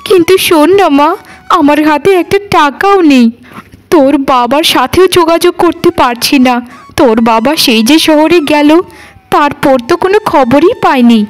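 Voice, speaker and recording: A woman's voice: high voice, moderate pace, close to an everyday voice. A woman voicing a worried poor village mother in a cartoon, soothing and imploring. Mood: anxious, soothing. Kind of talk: Bengali cartoon dialogue.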